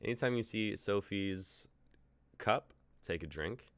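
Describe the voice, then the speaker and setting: A man's voice: lowish voice, takes it slowly, a bit bright. A man in his twenties hosting a casual livestream chat, upbeat and jokey as he runs the show. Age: 20s. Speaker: host.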